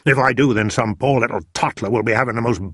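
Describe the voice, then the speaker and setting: A man voicing a big, kindly, excitable male giant who mangles his words. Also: mid-range voice, fast, husky, no room sound, clean studio sound. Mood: anguished. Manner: jumbled words, broad rustic lilt.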